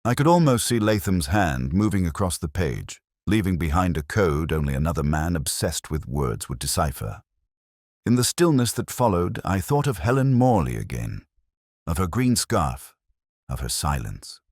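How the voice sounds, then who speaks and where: Very deep-voiced brooding male detective narrator. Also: big pitch swings, darkish tone, dry recording, clean studio sound. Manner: brooding, literary, measured. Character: weary, reflective male police inspector recalling a case. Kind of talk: scripted first-person audio drama narration.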